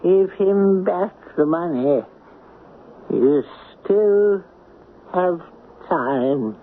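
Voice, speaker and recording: A man's voice: sits high, lively intonation, drawn-out words, heavily acted. An actor playing a grave, ominous man passing moral judgment in a 1970s radio drama. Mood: solemn. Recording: tinny, hissy old radio broadcast.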